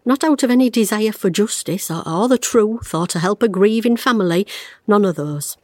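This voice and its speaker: A woman's voice: medium pitch, animated, normal speed, darkish tone, dry recording, studio quality, natural voice. A woman playing a blunt retired detective in her fifties. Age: 50s. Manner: wry, blunt, self-mocking, fraying under strain. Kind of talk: audio drama monologue.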